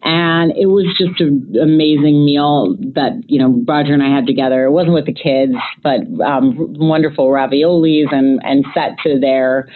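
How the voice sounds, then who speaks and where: A woman from the United States with a self-described loud voice, casually and chattily telling stories about a family trip as an interview guest. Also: low-pitched, fairly even intonation, normal speed, hardly any pauses, a little hiss or hum. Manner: chatty, with frequent fillers and restarts. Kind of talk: casual conversational storytelling. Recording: tinny phone-line audio.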